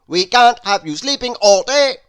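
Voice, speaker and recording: A woman voicing a brisk, bossy, busy storybook man who talks loudly and commandingly. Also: fairly high pitch, expressive, clear, resonant tone, pitched above the performer's own voice, close to an everyday voice.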